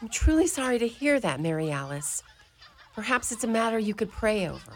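A woman's voice: on the high side, sing-song, a little fast. A woman playing a kindly, wry and firm older Mother Superior in a community radio play. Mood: calm, caring. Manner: gently commanding, dryly teasing. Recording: noisy radio studio recording.

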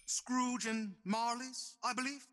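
Man playing a bright, high-voiced Victorian gentleman. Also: little pitch movement, takes it slowly, in a large hall, heavily acted. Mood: courteous. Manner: formal, courteous. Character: polite, earnest Victorian charity gentleman, eager and proper. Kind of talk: radio play acting.

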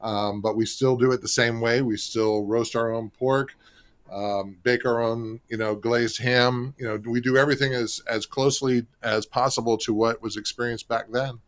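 A man from Florida, a restaurant marketing executive and co-author, talking in a relaxed, chatty way with lots of fillers. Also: medium pitch, normal speed. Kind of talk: radio interview guest.

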